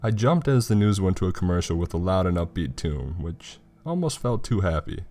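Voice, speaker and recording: A man's voice: low voice, big pitch swings, brisk, dark tone. A man reading a scripted horror story as its narrator. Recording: music behind the voice. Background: background music.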